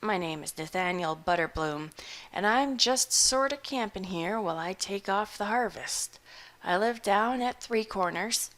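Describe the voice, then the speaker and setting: A grizzled old farmer man, put on by a woman reading a storybook, speaking louder than the rest of the reading. He drawls slowly and mournfully in plain country grammar, placid and unhurried, like a gaunt man who has just woken up. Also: mid-range voice, expressive. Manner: slow rustic drawl, ungrammatical country speech.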